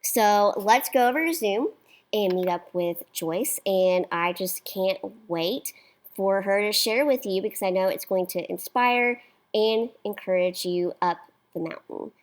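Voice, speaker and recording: A woman hosts an interview show from her studio with an upbeat, emphatic delivery. Her voice sits at an ordinary middle pitch. She speaks to the camera and then chats with her guest. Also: measured pace. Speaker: host. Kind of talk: interview show host, intro to camera then casual chat. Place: home studio.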